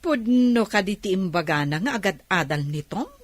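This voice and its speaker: A woman's voice: mid-range voice, lively intonation, normal speed, no echo, close to an everyday voice. A woman playing a nosy, scoffing village auntie who pesters for money in a comedy radio drama. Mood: prying.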